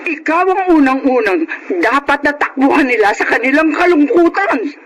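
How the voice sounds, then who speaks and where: An actress playing an indignant, stern older sister in a radio drama, reproachful and emphatic. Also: female voice, sits high, animated, moderate pace. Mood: stern, scolding. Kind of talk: Filipino radio drama acting.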